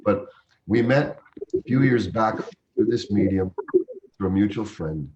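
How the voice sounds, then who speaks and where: A man's voice: lowish voice, animated, unhurried, a little mellow, lo-fi sound, a little hiss or hum. A man born in Uganda hosting a livestream interview, introducing his guest with effusive, reverent praise. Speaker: host.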